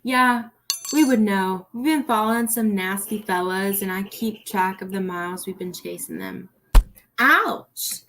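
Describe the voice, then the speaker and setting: A woman's voice: mid-range voice, unhurried, fairly bright tone, slight background noise, low-quality recording. A teenage girl acting a nervous, eager, naive rookie police officer in a student radio play. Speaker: student actor.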